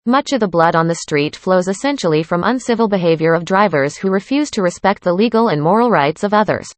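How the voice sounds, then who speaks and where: A woman with a slightly low voice reads a prepared informational passage aloud. The sound is dry, with no echo around it. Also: expressive, fairly quick. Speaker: reader of practice passages. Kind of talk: scripted read-aloud.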